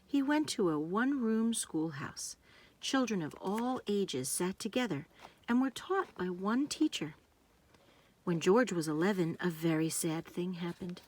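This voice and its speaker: A woman narrating a children's storybook, reading aloud in simple, deliberate phrases meant for young listeners. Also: fairly low pitch, animated, darkish tone.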